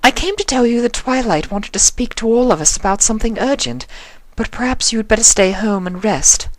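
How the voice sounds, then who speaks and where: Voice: mid-range voice, lively intonation, fairly quick, pitched above the performer's own voice, natural voice. A storyteller voicing an elegant, fussy, affectionate lady pony with refined, dramatic phrasing. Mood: concerned.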